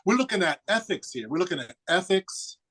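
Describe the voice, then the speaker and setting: Man calmly explaining in a discussion. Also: sits high, brisk. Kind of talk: casual group discussion.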